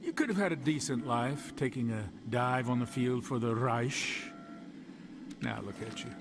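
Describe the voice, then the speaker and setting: A cruel Nazi officer from a video game, played by a man, speaking very slowly at a medium pitch. He is smug, mocking and condescending, with loud background noise. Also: close to an everyday voice. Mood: smug mockery. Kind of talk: video game villain acting.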